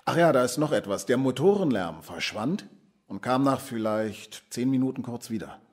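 An earnest policeman, played by a man, reports to his superior in a crime radio drama. The voice is husky and of middling pitch. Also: expressive, moderate pace, close to an everyday voice. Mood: matter-of-fact.

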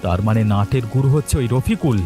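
A man's voice: medium pitch, lively intonation, fast, dark tone, lightly acted. Man voicing worried village farmer over music. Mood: suspicious, urgent. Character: worried, upright male village shrimp farmer. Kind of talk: Bengali cartoon voice acting.

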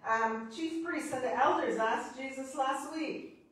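A woman's voice: high-pitched, unhurried, fairly bright tone. A middle-aged woman priest with a Barbadian accent preaches from a pulpit, chatty and joking with the congregation between points. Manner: chatty, laughing asides, teasing the congregation. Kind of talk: sermon with congregation banter. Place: church sanctuary at a pulpit. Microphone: far camera. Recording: echoey church from a distance.

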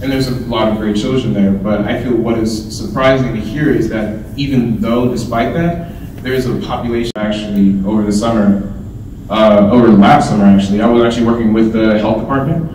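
A man's voice: fairly low pitch, fairly even intonation, brisk, darkish tone, a little hiss or hum. A young man, a student giving an earnest conference presentation on a handheld mic, explaining things with frequent ums. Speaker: student speaker. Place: large indoor hall with projector screen. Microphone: handheld mic, filmed from far. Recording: roomy hall echo, distant camera.

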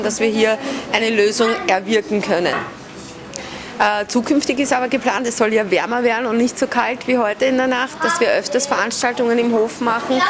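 A woman in her thirties, an activist, speaking earnestly and with determination in an interview. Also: on the high side. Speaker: activist, house organizer. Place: crowded indoor hall. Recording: music behind. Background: music.

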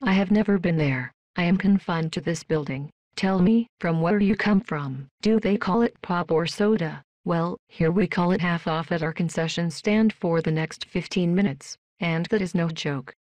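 A woman voicing a polite, emotionless female robot worker in a video game, with flat, stilted, deadpan delivery. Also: fairly low pitch, moderate pace, hardly any pauses, dry recording, close to an everyday voice. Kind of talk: scripted video game voice lines.

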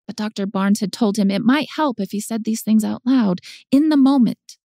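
Mellow female audiobook narrator. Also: on the high side, normal speed, no room sound, studio quality. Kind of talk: scripted story narration.

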